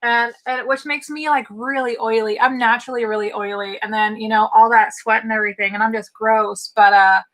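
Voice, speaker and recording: A woman's voice: fairly high pitch, fairly even intonation, brisk, few pauses, fairly bright tone, rough recording. A young woman from St. Louis, co-hosting a live watch party and chatting candidly in a rambling, self-deprecating way. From St. Louis, Missouri. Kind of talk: casual livestream chat.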